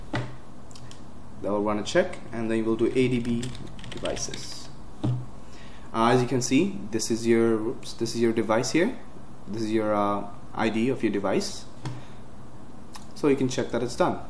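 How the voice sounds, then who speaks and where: A man's voice: medium pitch, slow, long pauses, a little mellow. Casual man walking through computer steps. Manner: casual, plain step-by-step instructions with many uhs and self-corrections. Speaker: tech tutorial host. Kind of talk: screen-recorded software walkthrough. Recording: roomy with faint hiss.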